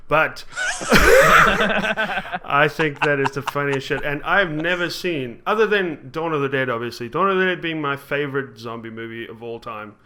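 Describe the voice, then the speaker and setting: A man's voice: on the high side, huge pitch swings, moderate pace, sharp tone, a little hiss or hum. A man hosting a casual gaming podcast, rambling and laughing at his own jokes, with a hard-to-place accent. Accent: mixed, hard to place. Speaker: podcast host. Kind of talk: casual chat.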